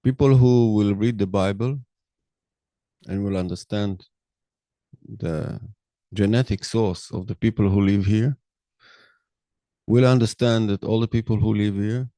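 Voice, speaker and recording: A middle-aged man with an Israeli accent, a native Hebrew speaker, hosting a personal monologue podcast, talking slowly with many pauses and fillers. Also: fairly low pitch, fairly even intonation, warm tone, dry recording. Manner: slow, halting, many fillers. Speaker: podcast host.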